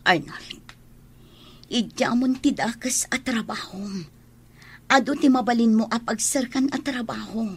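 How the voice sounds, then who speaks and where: A woman's voice: fairly high pitch, a little slow, long pauses, breathy edge. A woman with a northern Philippine accent acting an anxious, principled wife in a radio drama. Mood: firm, reproachful. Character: anxious, devout, principled wife of a criminal husband.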